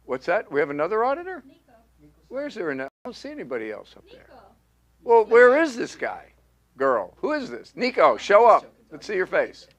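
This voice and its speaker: Man, acting coach, slightly high voice, punchy repetitive delivery, live class in a studio. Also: wildly swooping pitch, normal speed, lo-fi sound. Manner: emphatic, repetitive, punchy call-and-response teaching.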